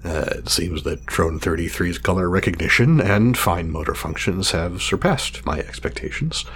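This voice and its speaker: An actor playing a meticulous male scientist in an audio drama, speaking formally and wordily, halting when flustered. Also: sits low, lively intonation, a bit bright, slight background noise. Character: meticulous, fussy male scientist testing his drone creation.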